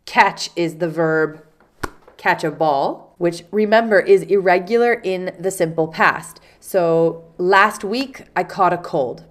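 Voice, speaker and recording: A woman's voice: on the low side, slow. A woman with a cold and a congested, nasal voice, teaching English vocabulary in a casual lesson and acting out short example phrases. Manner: patient, explains with spoken examples. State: stuffy nose from a cold.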